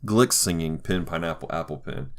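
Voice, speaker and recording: A man's voice: sits low, moderate pace. A man hosting a podcast in a casual, jovial way, laughing easily as he talks. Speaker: podcast host.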